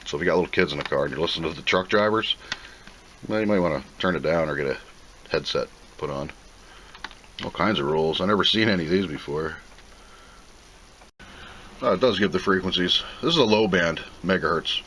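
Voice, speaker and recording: A man's voice: low voice, a little fast, pauses often, fairly bright tone, poor audio. A man giving a casual, plain-spoken unboxing commentary, rambling and thinking aloud as he goes. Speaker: video host reviewing a product. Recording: clear background noise.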